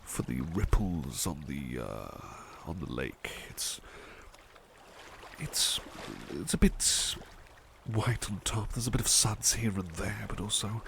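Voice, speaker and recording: A man playing a devoted, tender butler in an ASMR roleplay, murmuring softly and a little hesitantly to the listener. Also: fairly low pitch, creaky, background noise, heavily acted. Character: devoted, tender male butler who loves painting.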